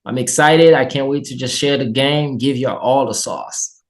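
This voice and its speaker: A man from Haiti with a Haitian accent, a guest telling his life story in an energetic, upbeat interview chat. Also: mid-range voice, moderate pace. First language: Haitian Creole and French.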